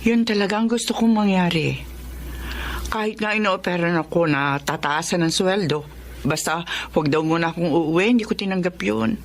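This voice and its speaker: Actress as a tender, longing mother over clear noise. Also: on the low side, moderate pace, close to an everyday voice. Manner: earnest, affectionate. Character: returning overseas-worker mother, eager, tender, longing for her daughter. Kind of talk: radio drama acting.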